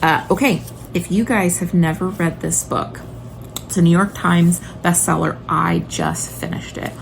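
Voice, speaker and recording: A woman vlogger chats in a casual, gushing way, full of enthusiasm. Her voice sits fairly low and often drops into vocal fry. There is faint noise behind her. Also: steady tone, measured pace, poor audio. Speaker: homeschooling video blogger and mother. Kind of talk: casual chatty book recommendation vlog.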